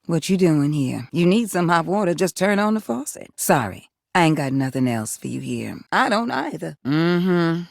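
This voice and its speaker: Creaky, sultry sweetheart appliance. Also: female voice, deep voice, big pitch swings, moderate pace, few pauses, no room sound, clean studio sound, exaggerated voice. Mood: firm, simmering. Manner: folksy, drawling, full of pet names. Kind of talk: video game voice acting.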